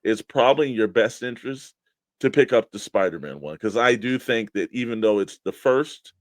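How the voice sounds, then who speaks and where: A man's voice: medium pitch, expressive, normal speed, dry recording. A man with an American accent co-hosting a toy collectors' show in casual, bantering talk. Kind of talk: casual banter, sponsor reads and mock weather report.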